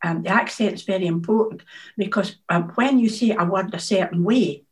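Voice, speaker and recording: A woman, an author answering interview questions in a thoughtful, measured way. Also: medium pitch. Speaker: author, guest.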